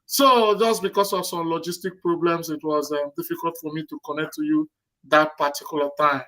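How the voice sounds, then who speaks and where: A man's voice: fairly high pitch, fairly even intonation, fairly quick, sharp tone, rough recording. A man hosting an interview, polite and rambling, with many hesitations and fillers. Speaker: show host.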